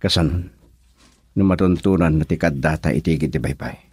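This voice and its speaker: A man's voice: rumbling low, fairly quick, darkish tone, slightly rough, no room sound. A Filipino man playing an earnest, resolute young suitor in a radio drama, sober and sincere. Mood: serious.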